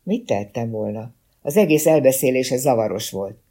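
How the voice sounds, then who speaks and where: A man's voice: medium pitch, lively intonation, mellow, breathy edge. A man voicing an uneasy young rookie policewoman who explains herself defensively in an audiobook.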